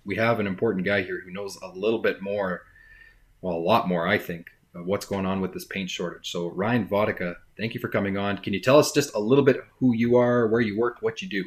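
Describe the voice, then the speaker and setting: Hoarse chatty man hosting a podcast. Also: on the low side, steady tone, fairly quick, no echo. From Canada. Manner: chatty, upbeat, rambling with restarts. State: hoarse, scratchy throat. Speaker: podcast host. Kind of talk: casual podcast talk.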